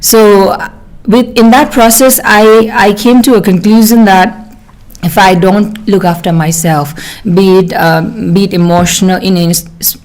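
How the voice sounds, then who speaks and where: Husky-voiced young Nepali woman, reflective. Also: mid-range voice, steady tone, darkish tone. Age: young adult. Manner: reflective, halting with restarts. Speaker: podcast guest, doctor. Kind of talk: candid personal conversation.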